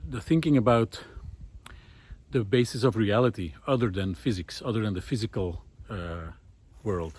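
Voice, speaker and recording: A man giving a casual, unscripted monologue outdoors on a woodland trail, musing and halting as he thinks aloud. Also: lowish voice, darkish tone, breathy edge. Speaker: host of a recorded monologue series.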